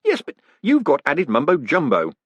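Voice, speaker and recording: Man voicing a frantic young con man, high-strung, very animated voice, dead-dry sound. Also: moderate pace, no room sound. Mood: scoffing. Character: panicked young male con man, jittery.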